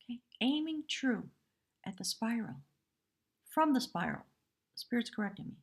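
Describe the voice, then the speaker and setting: A woman with a hoarse voice giving a live spiritual tarot reading in measured, reverent short phrases. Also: fairly high pitch, very animated. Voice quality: husky, breathy. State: hoarse from much talking. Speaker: tarot reader, live stream host.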